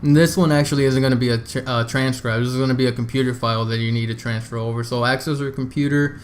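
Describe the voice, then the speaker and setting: A man's voice: medium pitch, steady tone, fast, slight background noise. A man narrating a video game walkthrough in an informal, easygoing, explanatory way. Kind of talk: casual game walkthrough commentary.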